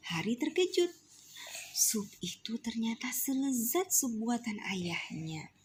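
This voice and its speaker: A woman's voice: a little high, very animated, slow pace, a little hiss or hum. A young woman at home, reading a storybook aloud to her child, often whispering and asking the child questions.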